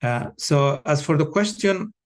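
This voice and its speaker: A man's voice: on the high side, lively intonation, a little slow. Man from ecuador, polite panel talk, lots of uhs. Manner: polite, frequent "uh" fillers. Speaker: panelist, education professor. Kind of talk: panel discussion remarks.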